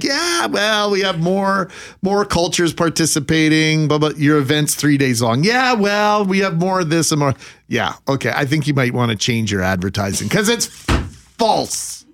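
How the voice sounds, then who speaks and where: A man's voice: on the high side, expressive, moderate pace, a bit bright. A man in his forties from Manitoba, hosting a casual morning radio chat, jokey and chatty with laughs. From Manitoba, Canada. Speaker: radio morning show host.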